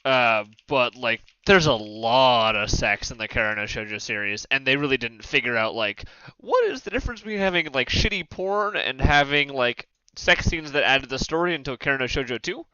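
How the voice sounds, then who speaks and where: A man with a bright, smooth voice hosts a casual panel discussion. He is emphatic and opinionated and swears freely. The sound is dry and a little dull. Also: medium pitch, expressive, normal speed, no echo.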